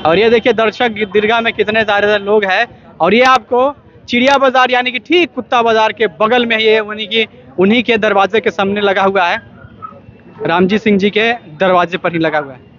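A man's voice: sits high, steady tone, normal speed. A young man hosting a chatty, teasing vlog from an outdoor fairground, talking into a handheld mic and laughing often. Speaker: vlogger host. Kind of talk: lively on-the-spot fair commentary. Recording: dull lo-fi outdoor audio with loud crowd.

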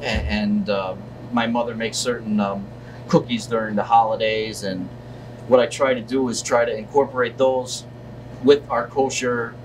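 A man's voice: mid-range voice, level tone, moderate pace. Male, 30s, casual interviewee, searching for words, recorded at a distance in a humming shop. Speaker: deli owner being interviewed. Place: indoors in a deli, seated at table. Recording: distant mic, loud hum of air conditioning.

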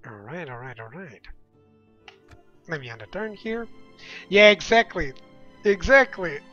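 A young man talks loosely to his viewers while he plays, rambling from one thought to the next and laughing often. His voice sits a little high and sounds bright and forceful. A dull, lo-fi mic carries it, with faint game music underneath. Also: very animated. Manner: chatty, rambling, quick laughs. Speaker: game streamer. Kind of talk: casual let's-play chat with viewers.

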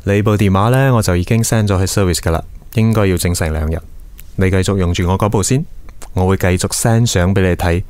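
An actor playing a caring, attentive young male boss in a radio drama, speaking in a gentle, reassuring way. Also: deep voice, a little fast, no room sound, lightly acted. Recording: background music under studio drama dialogue.

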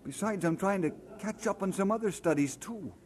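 An actor playing an earnest young Scottish working lad in an old-time radio drama. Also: male voice, high voice, normal speed, darkish tone, lightly acted. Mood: weary, wistful.

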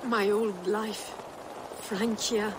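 A woman's voice: medium pitch, fairly even intonation, extremely slow. Game noblewoman, french accent, longing and passionate, noisy background ambience. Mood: yearning. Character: wistful, passionate Frankish noblewoman. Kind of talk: video game drama acting.

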